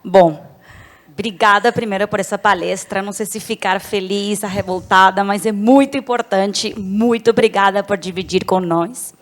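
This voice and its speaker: A woman's voice: medium pitch, normal speed. A woman with a Venezuelan accent, answering reflectively as a panelist in a discussion. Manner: reflective, personal.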